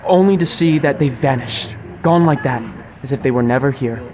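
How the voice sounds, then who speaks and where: A man's voice: mid-range voice, moderate pace. A young man hosting a podcast in a mock-formal, grandiose, wordy scripted style inside a busy restaurant. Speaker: podcast host. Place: recorded inside a sushi restaurant. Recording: busy restaurant chatter around the voice.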